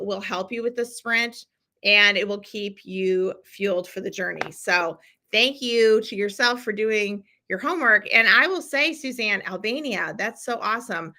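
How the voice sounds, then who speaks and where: A middle-aged woman hosts a live stream, talking straight to her viewers in a chatty, encouraging way. Her voice is bright and a little tense, and her pitch sits in a middle range. She keeps filling pauses with ums and restarts as she reads comments. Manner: chatty and encouraging, with many fillers. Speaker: podcast host running a live challenge. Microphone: streaming from a computer.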